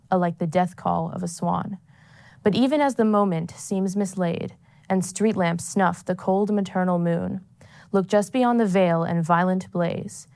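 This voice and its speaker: A woman's voice: fairly low pitch, darkish tone. A woman formally reciting a poem as a student poet.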